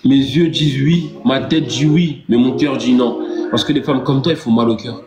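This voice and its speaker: A man's voice: medium pitch, fairly even intonation, normal speed. A man hosting a casual live stream, stammering and repeating himself with playful, theatrical outrage. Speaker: livestream host. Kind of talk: casual livestream chat. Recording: roomy, dull lo-fi sound. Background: music.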